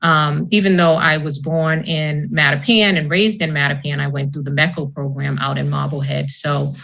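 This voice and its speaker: A woman's voice: low voice, fairly even intonation, normal speed, gravelly, fairly bright tone, highs cut off. A woman from Boston, an entrepreneur on a panel, telling her story earnestly and reflectively over an online call. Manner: earnest, reflective, some restarts. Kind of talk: panel discussion, personal story.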